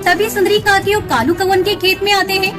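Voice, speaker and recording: A woman narrates a scripted children's story. Her voice is very high in pitch. Music plays clearly behind the narration. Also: little pitch movement, fairly quick.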